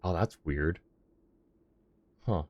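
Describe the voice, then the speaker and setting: A man's voice: deep voice, drawn-out words, no room sound, muffled recording. A young man livestreaming a game and chatting casually with viewers on a close microphone. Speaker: live game streamer. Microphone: close streaming microphone.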